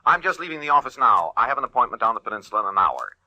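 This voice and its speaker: An actor playing a hurried, polite male insurance adjuster in a 1940s radio drama, brisk with hesitant pauses. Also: medium pitch, sing-song, pushed and bright, lo-fi sound.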